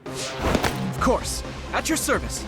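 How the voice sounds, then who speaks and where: Earnest young rookie, high animated voice. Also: male voice, slow pace, gravelly, exaggerated voice. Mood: eager, polite. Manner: earnest, polite. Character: earnest, polite, eager young male rookie soldier, anime. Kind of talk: English anime game voice acting. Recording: music behind the voice.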